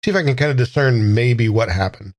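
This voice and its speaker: A man's voice: mid-range voice, brisk, no echo. A man casually playing a tabletop roleplaying game, thinking aloud in a tentative way.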